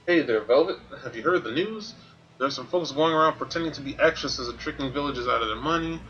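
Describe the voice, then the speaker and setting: A man's voice: mid-range voice, a little fast, fairly bright tone, muffled recording, soft background music. A man streaming a video game, giving informal commentary and thinking aloud as he plays. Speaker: gaming streamer. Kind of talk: casual Let's Play commentary, sometimes reading game text.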